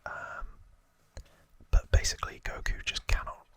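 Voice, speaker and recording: A man hosts ASMR in a fully unvoiced whisper, very close to the microphone. The sound is dry and sharp-edged. He retells a story informally, with a hesitant, self-correcting, rambling delivery. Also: unhurried, no room sound. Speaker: ASMR video host.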